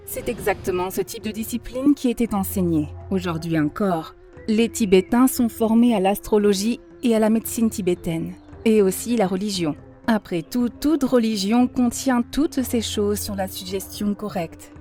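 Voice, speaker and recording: An earnest female teacher figure from a cartoon, acted by a woman, explains things in measured, instructive phrases. Her tone is very mellow and rounded, at a middling pitch, with faint music underneath. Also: lightly acted. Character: female mentor earnestly lecturing on child psychology. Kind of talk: dubbed cartoon dialogue, didactic monologue. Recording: music behind the voice.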